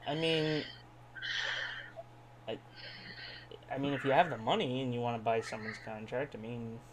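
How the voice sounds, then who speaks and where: A man's voice: medium pitch, steady tone, moderate pace. A man chatting casually as a podcast co-host. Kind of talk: casual wrestling podcast chat. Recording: home microphone with faint background noise.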